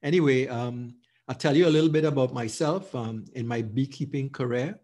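Middle-aged Trinidadian man, casual and halting. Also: mid-range voice, darkish tone. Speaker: host, beekeeper. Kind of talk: casual self-introduction over slides.

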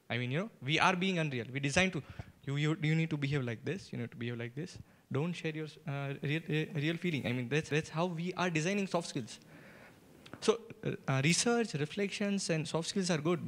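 A man's voice: fairly high pitch, a bit bright. A man making an insistent, spontaneous comment as a forum participant, often repeating himself. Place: lecture hall.

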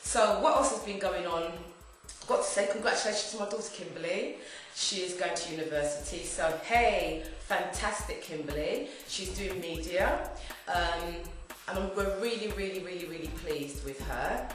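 A chatty woman in her forties talks to camera at home in a rambling, friendly way. Her fairly low voice sounds slightly hoarse from a throat infection. The room is very echoey, with faint music underneath. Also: moderate pace. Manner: chatty and rambling, lively gestures. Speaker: wig review vlogger. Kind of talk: casual chat to camera. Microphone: camera at mid distance.

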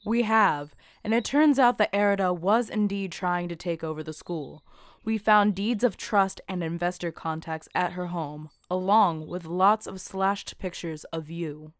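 A woman's voice: on the low side, measured pace, no echo, natural voice. A woman voicing a businesslike female police officer in an anime-style game dub, matter-of-fact.

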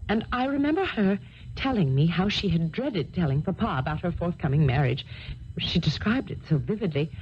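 A woman acting in a 1970s radio drama, playing a distressed, earnest young English heiress with formal, halting phrasing. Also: on the low side, a little mellow, lightly acted. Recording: dull noisy old radio recording.

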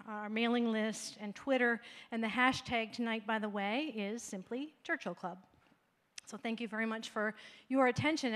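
A woman's voice: fairly high pitch, fairly bright tone. Female host, middle-aged, polished and formal, handheld mic, echoing hall. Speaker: event host. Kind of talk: scripted welcome speech. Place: stage in an event hall.

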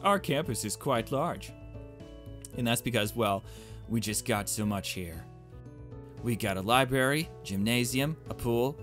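A man's voice: mid-range voice, a bit bright, lightly acted. A man voicing a polite, chirpy creature headmistress with a prim, formal manner in a visual novel game.

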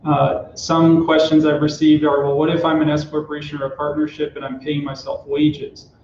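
A man's voice: fairly high pitch, monotone, normal speed, a little mellow, slight background noise. A man in his thirties, a tax expert explaining rules steadily in an office interview, with frequent "uh"s. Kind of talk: explaining tax rules in a live company broadcast. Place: small office room. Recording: roomy, dull office recording.

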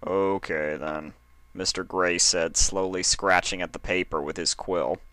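A man narrating a story as an expressive fiction reader. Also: deep voice, moderate pace, no room sound.

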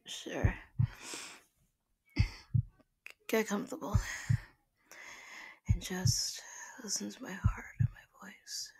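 A woman acts out a soothing, sleepy girlfriend in an ASMR roleplay. Her voice is husky and breathy with a creaky edge, and she speaks slowly and gently, often in a whisper, halting as she reassures her partner. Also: mid-range voice, full character voice, poor audio. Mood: sleepy, loving.

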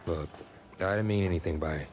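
A man's voice: deep voice, a little fast, background noise, lightly acted. A man playing a confident, competitive young backup quarterback in a video game cutscene, direct and probing, with an American accent. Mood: blunt, concerned.

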